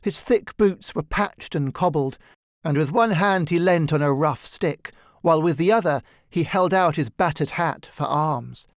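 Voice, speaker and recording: A man narrating a literary story from a script in an expressive, measured storytelling manner. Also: on the high side, no room sound, clean studio sound. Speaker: audiobook narrator.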